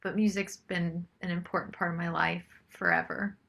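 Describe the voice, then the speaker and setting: A woman's voice: on the low side, level tone, normal speed, creaky, fairly bright tone. A woman chatting casually as a singer-songwriter guest in an interview.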